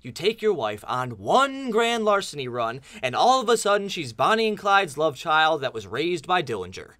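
A man playing a nervous, smooth-talking crook and weary husband in comedic radio theatre, placating and hesitant. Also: on the high side, very animated, normal speed, a bit bright, no room sound. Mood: weary, worried.

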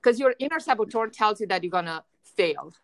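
A woman podcast host with a Russian-sounding accent, talking in an energetic, emphatic way and often repeating words as she starts a thought. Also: medium pitch, brisk, no room sound. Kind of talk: casual conversation.

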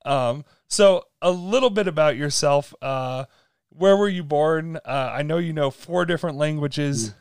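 Man, podcast co-host, smooth clear voice, dry close sound, upbeat. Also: a little high, no echo. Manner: upbeat, quick, filler-laden. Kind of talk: casual podcast chat.

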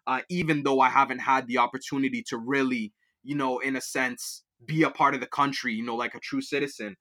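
A man's voice: mid-range voice, steady tone, a little fast, pushed and bright. A young man from Liberia, raised in Kenya and Canada, answering interview questions in a rambling way, with many fillers and restarts. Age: young adult. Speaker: student guest.

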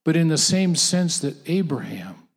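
A man preaching a sermon to a gathered audience, emphatic and repetitive, with halting restarts. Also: fairly high pitch, very animated. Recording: long hall reverb, voice off-mic.

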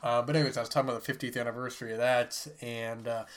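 Stuffy, flat-voiced man rambling. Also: mid-range voice, rapid-fire. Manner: rambling, many fillers and restarts. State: sick and stuffy. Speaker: podcast host. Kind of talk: casual solo book review.

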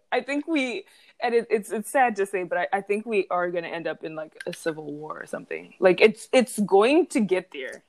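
A woman's voice: medium pitch, expressive, moderate pace, darkish tone. A young woman from Zimbabwe hosting a podcast in casual chat, chatty and laughing often as she acts out what people said. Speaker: podcast host.

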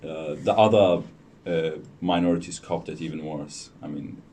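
Young man, low dark voice, halting guest; distant mic, faint noise. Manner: hesitant, many ums and restarts. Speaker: podcast guest. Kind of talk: casual interview chat.

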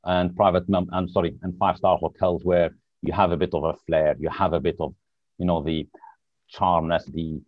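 A man from Lebanon speaks in a very deep voice, pausing now and then to find the right word as he talks about his work. His voice sounds dry and close, through a dull, lo-fi remote line. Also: little pitch movement, no echo. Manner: searches for words, hesitant. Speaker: podcast guest. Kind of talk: casual interview chat.

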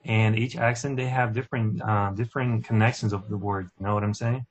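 Lower-voiced Brazilian man, lo-fi. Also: fairly even intonation, fairly quick. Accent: Brazilian Portuguese. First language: Portuguese. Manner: loose, filler-heavy, "you know what I'm saying". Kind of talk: casual discussion.

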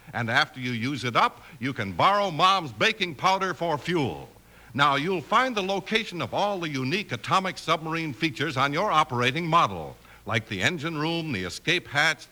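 A man's voice: fairly high pitch, pushed and bright. An American man announcing an enthusiastic, emphatic 1950s radio commercial for children. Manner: enthusiastic, emphatic sales delivery.

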